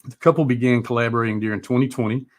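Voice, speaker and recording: A man's voice: mid-range voice, steady tone, racing. Middle-aged male music show host, informal and rambling, with a salty, unpolished livestream style. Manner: informal, mildly profane, rambling. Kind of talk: casual live-stream interview chat.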